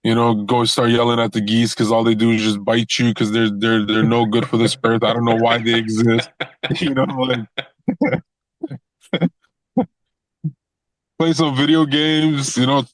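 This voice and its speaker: Young male wrestler guest, easygoing, rambling restarts, mid-pitched, dry mic. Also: a little slow, pauses often, no echo, poor audio. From Toronto, Canada. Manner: relaxed, repeats words and restarts often, laughs easily. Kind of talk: casual interview chat.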